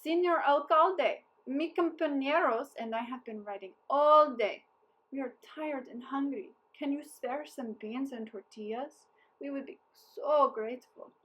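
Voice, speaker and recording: A woman's voice: high-pitched, a little slow, a bit bright, pitched above the performer's own voice, rough recording. A woman voicing a confident, wily male army captain in a storybook, speaking with courteous, persuasive emphasis.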